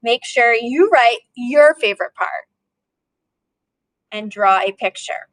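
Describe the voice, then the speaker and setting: A woman's voice: on the high side, slow pace, fairly bright tone, poor audio. A woman, an elementary teacher leading a read-aloud lesson for young children, asking questions and prompting as she goes.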